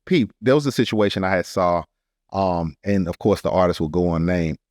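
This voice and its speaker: An American man co-hosting a casual podcast, animated and slangy, often throwing in short affirming interjections. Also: deep voice, normal speed, dry recording, clean studio sound. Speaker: podcast co-host.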